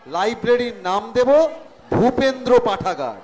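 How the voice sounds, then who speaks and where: Man acting a high-voiced cheeky young nephew. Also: very animated, moderate pace. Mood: teasing, coaxing. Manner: mock-earnest, wry. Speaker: amateur actor, schoolteacher. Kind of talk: staged comic Bengali audio drama. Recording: stage recording with audience noise.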